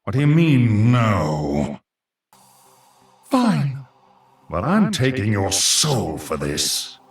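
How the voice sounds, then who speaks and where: A pompous undead archlich from a video game. He speaks slowly and menacingly, with grand swooping theatrical flourishes and sardonic dryness. The voice rings with a hollow echo. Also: fairly low pitch, very animated, distant mic, soft background music, full character voice. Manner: grandiose, theatrical, dry comic asides. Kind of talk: video game character voice lines.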